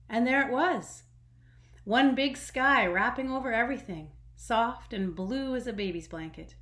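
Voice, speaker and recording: A woman reading a children's storybook aloud expressively, with dramatic emphasis and hushed phrase endings. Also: medium pitch. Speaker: storybook reader. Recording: echoey room.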